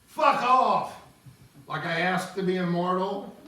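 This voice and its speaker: Man performing a defiant old ghost, drawn-out and echoing. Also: high voice, sharp tone, pitched above the performer's own voice, exaggerated voice. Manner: theatrical, drawn-out delivery. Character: defiant, profane old male ghost of a drug-addled writer. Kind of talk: sung and declaimed opera. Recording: echoey room, distant mic, music.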